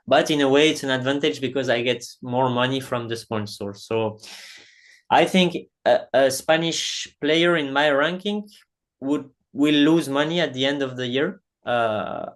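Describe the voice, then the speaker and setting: A man's voice: medium pitch, normal speed, a bit bright. A young adult man from Belgium, a padel player answering interview questions casually with non-native phrasing and frequent restarts. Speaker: professional padel player, guest.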